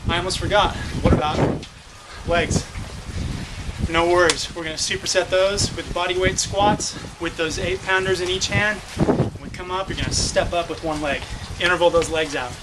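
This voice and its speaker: An upbeat young man coaches a home workout to camera, his voice sitting a little high. He is recorded outdoors on a porch through a camera mic, with clear background noise of rain and passing cars. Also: steady tone, normal speed. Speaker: fitness vlogger. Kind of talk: casual workout instruction. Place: covered front porch outdoors on a rainy day. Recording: noisy outdoor camera audio.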